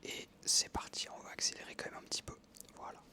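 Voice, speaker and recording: A man in his twenties whispering soft ASMR. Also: fast talker. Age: 20s. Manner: soft unvoiced whisper throughout, relaxed rambling with many fillers. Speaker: ASMR creator. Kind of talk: whispered ASMR storytelling over gameplay.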